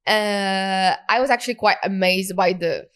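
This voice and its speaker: A woman from Morocco, in her thirties, talks as a guest on an interview podcast. Her pitch sits in the middle range. She keeps a candid, conversational flow that is full of fillers and self-corrections. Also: a little slow, fairly bright tone, clear, resonant tone. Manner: candid, many fillers and restarts. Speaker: guest entrepreneur.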